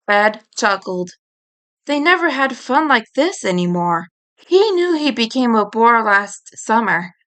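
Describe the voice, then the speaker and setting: A woman's voice: fairly high pitch, a bit bright. A woman reading scripted fan fiction as an audiobook narrator. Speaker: audiobook reader.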